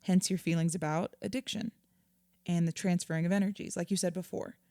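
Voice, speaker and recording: A woman acting a patient, earnest therapist in an audio drama, measured and reassuring as she explains. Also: fairly low pitch, steady tone, mellow, dry recording, clean studio sound, close to an everyday voice. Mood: earnest, explanatory. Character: patient, earnest female therapist counselling a client.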